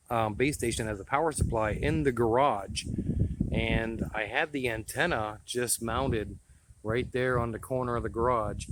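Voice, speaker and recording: A man giving a casual, hands-on demonstration as the host of a home video. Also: mid-range voice. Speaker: host demonstrating CB radio gear. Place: at home by a radio base station. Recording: clear background noise, clicks.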